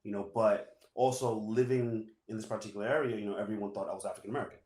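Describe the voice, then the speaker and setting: A man, a Spanish speaker, telling his story casually in an interview and filling pauses with "you know". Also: fairly low pitch. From New York. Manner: relaxed, many "you know" fillers. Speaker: guest, assistant principal. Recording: echoey room.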